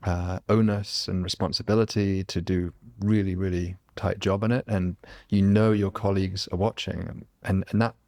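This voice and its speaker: A man's voice: low voice, level tone, moderate pace, few pauses, a little mellow. A man hosting a podcast, talking in an earnest, reflective way in a casual conversation. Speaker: podcast host.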